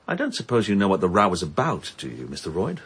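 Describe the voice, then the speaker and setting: Brief: a confident police inspector, husky, very animated delivery. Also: male voice, low voice, heavily acted. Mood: stern. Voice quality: brisk and authoritative. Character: stern, self-assured male police inspector. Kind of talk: radio drama acting.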